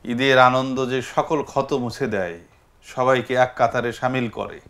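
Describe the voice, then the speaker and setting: A man with a Bangladeshi accent hosting a festive phone-in show, speaking politely with hesitant pauses. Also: mid-range voice, fairly even intonation, fairly bright tone. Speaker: live TV show host.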